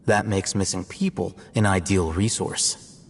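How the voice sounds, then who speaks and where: An actor playing a composed, analytical male scholar and scribe in a game, explaining his deductions in a calm, measured, lecturing way. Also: sits low, gravelly, slight background noise. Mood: deducing. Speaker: academy scribe. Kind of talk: game cutscene voice acting. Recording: reverberant with game music.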